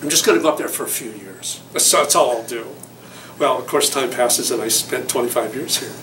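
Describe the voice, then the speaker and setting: A man's voice: medium pitch, brisk, a bit bright. Older man recalling, echoey and distant. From Southern California. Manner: rambling, thoughtful, many fillers. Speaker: award recipient interviewee. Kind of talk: reflective interview recollection. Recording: echoey room, mic far off, noisy.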